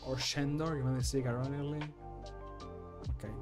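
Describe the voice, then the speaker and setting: A man's voice: mid-range voice, steady tone, a little fast, music in the background. A man modding a game and recording voice lines, chatting casually to himself and laughing often. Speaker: modder and streamer.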